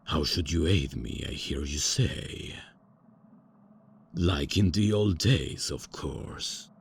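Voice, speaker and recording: A man performs an eccentric vampire count, stuck in old ways and full of his own importance. The voice sits extremely low and rough with gravel, and the delivery is unhurried and formal. Grand pronouncements keep getting tripped up by nervous fillers and hasty self-corrections. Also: expressive, a little hiss or hum, heavily acted. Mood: pompous. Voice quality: deep and gravelly. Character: pompous old-fashioned male vampire count, eccentric and out of touch. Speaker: voice actor. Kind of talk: ASMR roleplay audio.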